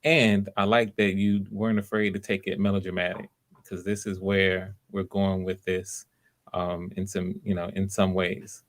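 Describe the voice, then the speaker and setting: A man with a fairly low voice hosts live auditions. He talks casually and with enthusiasm, giving encouraging feedback in a loose, conversational way. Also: flat delivery, moderate pace.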